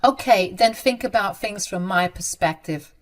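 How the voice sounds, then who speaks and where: A woman's voice: mid-range voice, normal speed, lightly acted. A woman voicing a young bride who is protective and indignant, speaking emphatically. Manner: emphatic and outraged.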